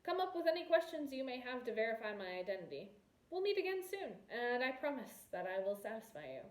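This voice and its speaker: A woman's voice: a little high, sing-song, fairly quick, voice pushed up. A woman voicing a bold young prince in a storybook, assured and sardonic, in an echoey room. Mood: commanding. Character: bold, wry young male prince, former orphan.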